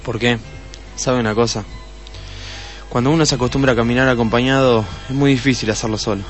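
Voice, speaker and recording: An actor playing a desperate, lovelorn swindler in a radio melodrama, speaking haltingly. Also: male voice, mid-range voice, steady tone, soft background music, close to an everyday voice. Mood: melancholy. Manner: halting, stammering, repeating words. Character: desperate, lovelorn male swindler, cornered and sorrowful. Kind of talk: melodramatic radio drama acting.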